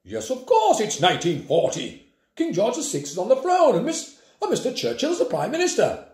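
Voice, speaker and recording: Male reader voicing a bewildered old wartime flyer, stammering and formal, lively pitch in a roomy echo. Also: brisk, full character voice. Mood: indignant. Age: older. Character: confused elderly man, a proud old wartime airman.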